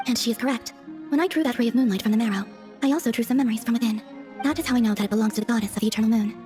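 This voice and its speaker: Woman playing a high-voiced, serene moon goddess. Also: soft background music, lightly acted. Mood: solemn. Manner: measured formal phrasing, soft, detached. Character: serene, enigmatic young moon goddess, aloof and softly formal. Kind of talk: video game cutscene acting.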